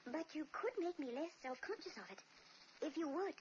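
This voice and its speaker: A woman's voice: high voice, expressive, fairly quick, lo-fi sound. An actress playing a tender, self-sacrificing invalid wife who coaxes her husband affectionately, in a 1940s radio play. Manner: affectionate, coaxing. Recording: hissy old radio recording.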